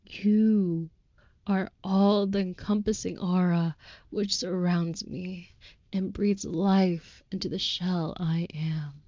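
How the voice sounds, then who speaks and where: A woman plays a tender female vampire lover, utterly devoted and ornately romantic. The voice is mellow and breathy, slipping into whispers, and it moves slowly and reverently through formal, old-fashioned phrases. The sound is close, dry and slightly dull, as in an intimate audio roleplay. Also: on the low side, fairly even intonation, no echo. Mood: impassioned devotion. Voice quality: soft, breathy with whispered moments. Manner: florid, reverent, archaic formal wording.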